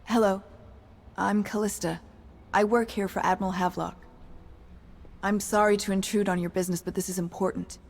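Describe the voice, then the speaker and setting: An actress playing an earnest, worried young servant woman in a video game, polite and quietly urgent. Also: medium pitch, steady tone, brisk, vocal fry, pauses often. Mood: anxious, pleading. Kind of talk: video game character acting.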